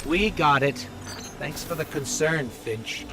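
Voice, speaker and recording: A man's voice: a little high, slow, loud music behind the voice, low-quality recording. An actor voicing a loyal, earnest small male robot companion in video game dialogue. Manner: earnest, urgent.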